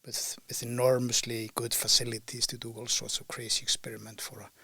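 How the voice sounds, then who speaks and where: A man's voice: mid-range voice, little pitch movement, a little fast, gravelly, bright, forceful tone, slightly rough, no echo. An older man with an Icelandic accent, a guest in a podcast interview, talking about his career thoughtfully with frequent fillers and restarts. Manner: thoughtful, hesitant with many fillers. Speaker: guest ecologist. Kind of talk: relaxed interview talk.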